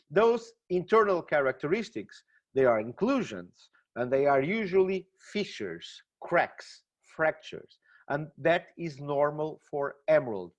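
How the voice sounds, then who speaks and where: A man with a Portuguese accent giving a webinar lecture, talking with enthusiasm and frequent exclamations. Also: fairly high pitch. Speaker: gemmology lecturer. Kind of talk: webinar presentation. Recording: dull lo-fi webinar audio.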